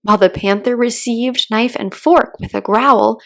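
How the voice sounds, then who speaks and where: A woman's voice: a little high, moderate pace, creaky, fairly bright tone. A woman voicing a timid, polite little girl who speaks earnestly, as if reciting, in a children's storybook. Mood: uneasy reciting. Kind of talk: children's storybook read-aloud.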